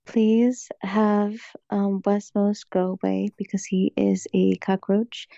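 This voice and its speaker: A woman in casual bedtime chat on a call, giving short, deadpan, drowsy replies. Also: medium pitch, takes it slowly, creaky, dry recording. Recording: dull lo-fi call audio.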